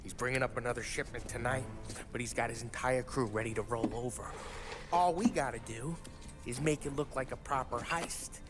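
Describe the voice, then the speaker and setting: An actor playing a brash, excitable American gangster henchman in a game cutscene, talking in cocky street slang. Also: medium pitch, normal speed, close to an everyday voice. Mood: eager, persuasive. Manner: slangy, cocky, animated street-tough talk. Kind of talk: video game cutscene acting. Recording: music behind the voice.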